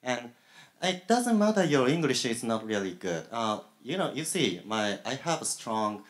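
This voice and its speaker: A young man with a Japanese accent on a graduate student panel, speaking haltingly with many fillers and pauses. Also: mid-range voice, very animated. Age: young adult. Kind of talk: panel talk. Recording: echoey room.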